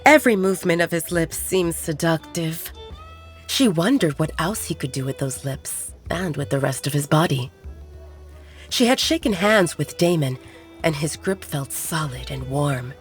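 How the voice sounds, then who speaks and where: A woman's voice: sits low, lively intonation, moderate pace. A woman narrating a scripted romance audio drama as an audiobook storyteller, with music behind her. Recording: music bed under the voice. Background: background music.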